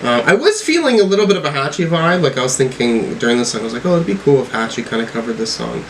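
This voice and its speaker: A man hosts a casual music reaction, thinking aloud as he goes. His voice sits at a middling pitch, and some noise runs behind it. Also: sing-song, fast. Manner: musing, thinking aloud while reading out lyrics. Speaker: host of music reaction videos.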